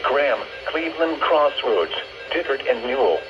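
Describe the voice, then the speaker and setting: A man's voice: medium pitch, very animated, moderate pace, over music. Synthetic American male weather announcer, muffled radio. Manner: synthesized, impersonal, formulaic delivery. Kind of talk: scripted emergency weather bulletin. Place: radio speakers picked up by recording device. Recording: band-limited weather radio broadcast.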